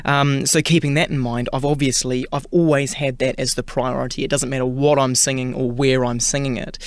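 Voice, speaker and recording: A man's voice: mid-range voice, little pitch movement, brisk, no echo. A man from New Zealand with a New Zealand accent, chatting as a singer guest in a radio interview, with many fillers and restarts.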